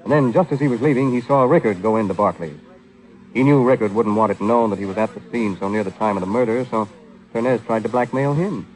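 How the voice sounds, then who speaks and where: An American actor playing a cool, sardonic, teasing private detective in a vintage 1940s radio drama. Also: male voice, on the low side, fast, a little mellow, natural voice, low-quality recording. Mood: explaining. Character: wisecracking, cool-headed male private detective, flirtatious with women.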